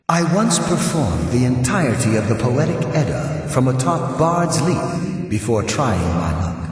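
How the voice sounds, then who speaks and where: Game role: haughty undead spirit, slow and ominous, swooping pitch, drenched in distant echo. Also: male voice, a little mellow. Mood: wistful. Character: menacing male undead ghost, haughty and solemn. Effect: ghostly reverberation. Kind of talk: video game character dialogue. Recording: cavernous long reverb, distant.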